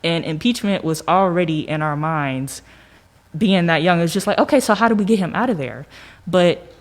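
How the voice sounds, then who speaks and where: A young American woman with a low-pitched voice talks casually about her own experience. Her delivery is colloquial and animated, as if chatting among peers, and she voices her own reactions along the way. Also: normal speed. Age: young adult. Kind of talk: casual conversation, personal reflection.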